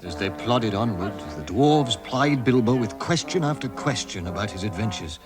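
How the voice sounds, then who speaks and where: A man's voice: fairly low pitch, expressive, normal speed, music in the background, close to an everyday voice. A man giving scripted, dramatic storytelling narration as the narrator of a radio play.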